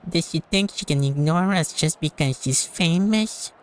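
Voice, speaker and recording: A man's voice: fairly high pitch, normal speed, pitched above the performer's own voice, close to an everyday voice. A man voicing a small, whiny, indignant fairy companion from a fantasy game. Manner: whiny, annoying.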